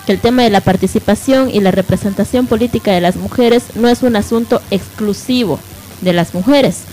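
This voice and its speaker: A woman's voice: mid-range voice, brisk, mellow. A woman co-hosting a live radio programme in a conversational style. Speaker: radio co-host.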